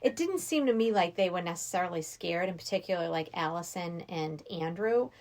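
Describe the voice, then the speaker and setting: Slightly low-voiced middle-aged woman, chatting. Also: lively intonation, fairly quick, a little mellow. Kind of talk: casual film discussion.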